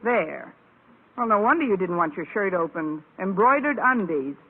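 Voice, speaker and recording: A woman playing a wry, quick-witted high school teacher with dry, sarcastic delivery in an old radio comedy. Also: very animated. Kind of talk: 1940s-50s radio comedy acting and narration. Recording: noisy, tinny old radio broadcast.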